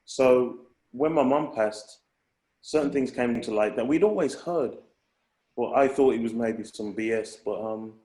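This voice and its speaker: A man's voice: medium pitch, unhurried, mellow. Reflective man in an echoey room. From England. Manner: reflective, rambling with restarts. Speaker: guest, hip hop artist. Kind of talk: casual conversation.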